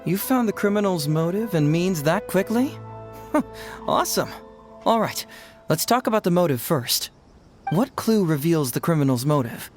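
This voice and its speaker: A teasing young detective. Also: male voice, sits high, animated, normal speed. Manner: teasing, quizzing, laughs lightly. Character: sharp, playful young male detective. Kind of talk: video game voice acting. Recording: game audio with music under it. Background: faint game music.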